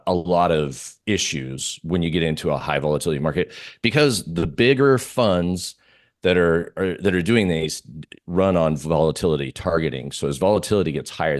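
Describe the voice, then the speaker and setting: A man giving casual market commentary as an interview guest, explaining in halting phrases with frequent restarts. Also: very deep voice, dry recording, clean studio sound.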